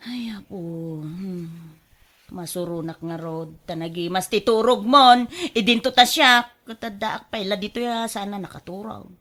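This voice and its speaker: A woman's voice: mid-range voice, normal speed, a bit bright, close to an everyday voice. A woman acting as a restless, nagging wife in a radio drama, full of sighs and exclamations. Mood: restless, fretful. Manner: exclamatory, sighing, querulous. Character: restless, nagging wife fretting and bickering with her husband.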